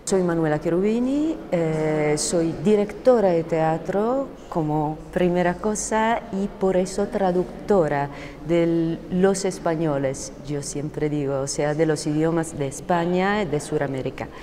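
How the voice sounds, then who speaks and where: A woman who directs and translates theatre, answering an interview in a measured way with hesitation fillers. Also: on the low side, warm tone, slight background noise.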